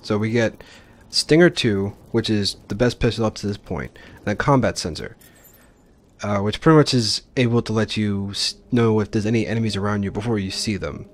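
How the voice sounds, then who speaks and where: A man's voice: lowish voice, brisk. A man giving informal, explanatory commentary as he hosts a let's play of a video game. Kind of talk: casual gameplay commentary.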